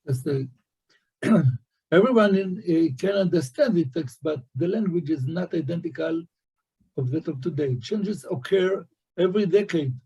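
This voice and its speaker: Hebrew-native guy explaining stuff slowly, husky and kinda mellow, lots of uh's. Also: fairly high pitch, low-quality recording. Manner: slow and halting, many fillers. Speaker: guest scholar. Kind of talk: academic discussion.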